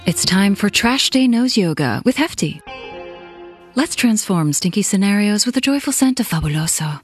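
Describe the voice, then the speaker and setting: A woman voicing a playful radio commercial with a calm, guided-breathing delivery. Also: mid-range voice, moderate pace, slightly rough, no echo. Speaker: ad voice-over. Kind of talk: playful scripted commercial read. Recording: dry studio with light music.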